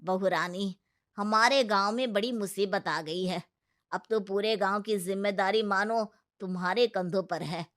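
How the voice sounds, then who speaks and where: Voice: mid-range voice, unhurried, a bit bright, lightly acted. A performer voicing a village council elder in a Hindi cartoon story, earnest, blunt and authoritative. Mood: grave appeal.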